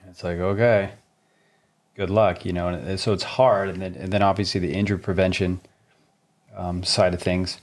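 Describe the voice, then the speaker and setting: A man with a deep, low voice chats casually as a tennis podcast co-host. He is laid-back and often laughs. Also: flat delivery, normal speed.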